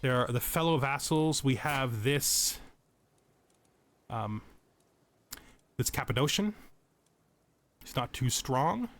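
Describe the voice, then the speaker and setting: Male let's play host, mid-pitched, explanatory tutorial commentary that thinks out loud. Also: expressive, slow, pauses often. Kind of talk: tutorial-style game commentary.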